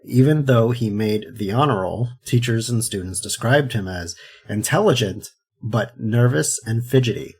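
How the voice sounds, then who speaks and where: Husky-voiced joking man. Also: medium pitch, moderate pace, darkish tone. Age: 30s. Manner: goofy, joking. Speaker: podcast co-host. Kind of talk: casual chat and reading history.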